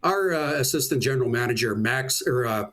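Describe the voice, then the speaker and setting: Middle-aged Canadian male, sports announcer as guest, medium pitch, folksy and conversational, casual reminiscing. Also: animated, fairly quick, a bit bright. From small-town Saskatchewan, Canada. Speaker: sports public address announcer, interview guest. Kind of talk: casual interview chat, reminiscing.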